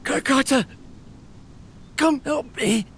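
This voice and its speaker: A man's voice: very high-pitched, slow pace, fairly bright tone, slight background noise, full character voice. A man voicing a lonely, weary, ailing inventor in an earnest, wistful game performance. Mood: weakening, alarmed. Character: lonely, weary, ailing male inventor recording research logs. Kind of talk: dramatic video game voice acting.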